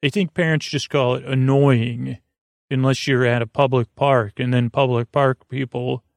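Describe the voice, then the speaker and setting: A man hosting a sleep podcast with a meandering, halting bedtime monologue, often trailing off mid-thought in a creaky, gravelly voice. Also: mid-range voice, level tone, dry recording. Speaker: podcast host. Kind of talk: rambling bedtime monologue to help listeners sleep.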